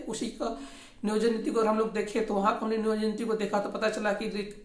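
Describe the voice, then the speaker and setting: A speaker with a Jharkhand accent giving informal political commentary, often repeating and rewording phrases in a halting way. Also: medium pitch. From Jharkhand, India. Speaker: host of a regional news commentary channel. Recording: echoey, roomy sound.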